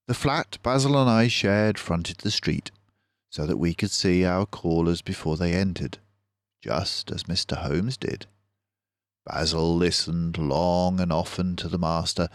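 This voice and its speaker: An older man narrates a children's detective storybook aloud. His voice is fairly low and he takes his time, very slow and deliberate with each phrase. The sound is close and dry. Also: no echo.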